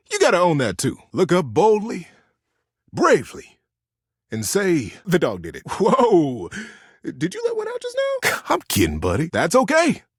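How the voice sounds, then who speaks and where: Lovable old teddy bear game character, hugely swooping pitch, husky, folksy, gentle, playful, encouraging. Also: male voice, no echo, studio quality, heavily acted. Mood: teasing, playful. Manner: folksy, playful, earnest pep-talking. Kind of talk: video game character monologue, storytelling advice.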